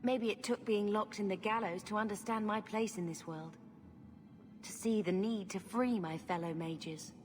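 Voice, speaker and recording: An actress playing a devout, gentle young female mage in a video game, speaking in an earnest, heartfelt way. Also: medium pitch, steady tone, normal speed, a little hiss or hum, lightly acted. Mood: reflective. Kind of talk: video game cutscene acting. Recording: echoey hall, music behind.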